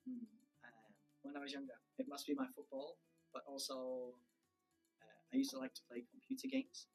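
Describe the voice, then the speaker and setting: A man's voice: medium pitch, very animated, moderate pace, vocal fry, darkish tone, breathy edge, soft background music, rough recording. A man in his thirties from the UK, a teacher answering pupils' questions in a cheerful, easygoing way. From United Kingdom. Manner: cheerful, easygoing, lots of ums. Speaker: teacher being interviewed. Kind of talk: casual interview answers.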